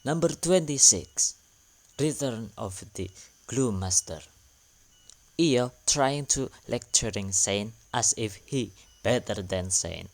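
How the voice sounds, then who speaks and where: Slow, low-voiced male narrator. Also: lively intonation. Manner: plain, matter-of-fact, broken English. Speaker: channel narrator. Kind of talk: recap narration.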